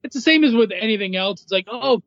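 Man, high-pitched voice, podcast guest, casual explainer, dry and slightly dull recording. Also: lively intonation, fast talker, fairly bright tone, no echo. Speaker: podcast guest, writer and editor.

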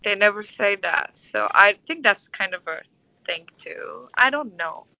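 A woman in her twenties from Istanbul with a Turkish accent, answering interview questions in a thoughtful, halting, conversational way. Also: medium pitch, expressive, vocal fry, bright, forceful tone, no room sound, highs cut off. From Istanbul, Turkey. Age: 20s. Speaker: interview guest, orchestral conductor.

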